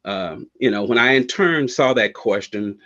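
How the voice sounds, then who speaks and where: A man's voice: mid-range voice, expressive, bright, forceful tone, highs cut off. A middle-aged man, an incumbent candidate, answering a board's question at length with many fillers and restarts. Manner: verbose, halting with many fillers.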